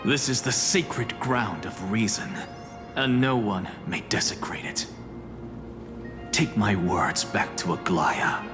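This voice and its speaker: A snooty young sage in a game, slow and dismissive, swings his pitch around, music under it. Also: lively intonation. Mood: commanding. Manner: scornful, dismissive, measured. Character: arrogant scholar sage, young man, sharp-tongued. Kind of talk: video game voice acting. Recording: game audio with music behind.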